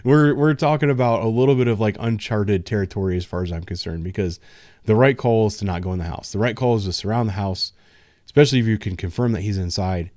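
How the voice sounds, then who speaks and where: Frank low-voiced man, dry close sound. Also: quick pace, darkish tone, dry recording. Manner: frank, loose, many ums and restarts, occasional laughs. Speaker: patrol officer, show host. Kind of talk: casual livestream discussion.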